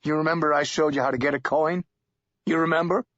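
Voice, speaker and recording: A man voicing a frightened, desperate male drifter in a storybook, pleading and agitated in slangy speech with a husky voice. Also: fairly high pitch, fairly even intonation, fast talker, a bit bright, no echo, muffled recording, voice pushed up, lightly acted.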